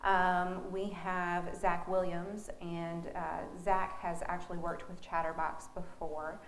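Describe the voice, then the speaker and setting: Low-pitched woman in an echoey room. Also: little pitch movement, slow. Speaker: theater director being interviewed. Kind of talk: casual interview talk. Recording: roomy echo, faint background noise.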